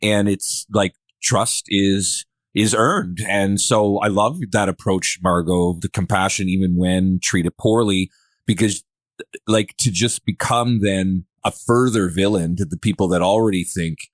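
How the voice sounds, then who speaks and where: A man's voice: deep voice, fairly even intonation, a little slow, no echo. A man talks casually in a podcast discussion, informal and agreeable. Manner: informal, agreeable, frequent "like" and "you know". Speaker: podcast speaker.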